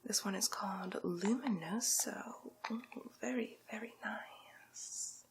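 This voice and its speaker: A woman playing a haughty, condescending, luxury-obsessed villainess in an ASMR roleplay, with a drawling, theatrical delivery that shifts between breathy whisper and soft creaky speech. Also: medium pitch, slow pace. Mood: smugly condescending. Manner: drawling, theatrical, condescending, calls listener darling.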